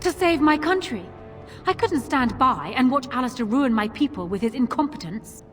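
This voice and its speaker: Casting: haughty, determined fantasy-game queen, cold and defiant, slightly high voice, reverberant hall. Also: lively intonation, normal speed, fairly bright tone. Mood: cool defiance. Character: proud, defiant, cold queen clinging to power. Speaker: actor in a fantasy role-playing game. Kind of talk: dramatic game cutscene dialogue. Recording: long hall reverb, music underneath.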